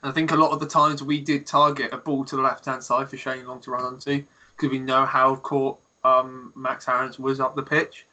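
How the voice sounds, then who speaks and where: A young man on a podcast with a very bright, sharp tone. He stumbles into his points at first, then lays them out steadily. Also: medium pitch, normal speed. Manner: hesitant starts, then steady reasoning. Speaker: podcast co-host. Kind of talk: casual chat.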